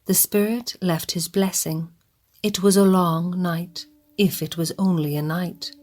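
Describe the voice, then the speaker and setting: Slow woman narrating. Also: on the low side. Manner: slow, even, sometimes hushed. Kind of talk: scripted storytelling narration.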